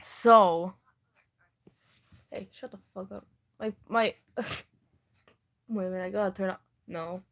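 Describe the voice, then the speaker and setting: A woman's voice: medium pitch, fairly even intonation, slow, pauses often. A young woman streaming live, reading her old fan fiction aloud with self-mocking, swearing asides and frequent laughter, often breaking off mid-sentence. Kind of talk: casual livestream reading with asides.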